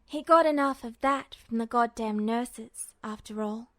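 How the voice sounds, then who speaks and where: A woman's voice: fairly high pitch, unhurried, fairly bright tone, no echo, studio quality. A woman narrating a story as a scripted audiobook-style reading. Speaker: podfic narrator.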